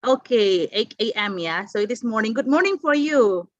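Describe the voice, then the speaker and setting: A woman's voice: on the high side, expressive, moderate pace, crowd noise. Hesitant woman moderating a video call. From Indonesia. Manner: many fillers and restarts, laughs often. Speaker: conference moderator. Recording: dull video call audio.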